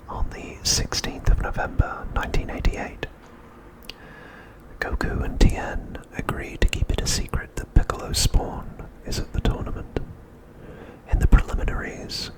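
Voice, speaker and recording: Guy whispering asmr narration, really slow n soft, breathy, lots of little pauses. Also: low-quality recording. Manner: soft, deliberate, with frequent pauses between phrases.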